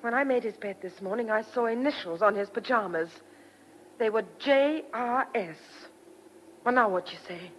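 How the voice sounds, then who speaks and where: A woman's voice: a little high, normal speed, a little hiss or hum, close to an everyday voice, low-quality recording. A woman playing an anxious young farm woman in a radio drama, earnest and urgent.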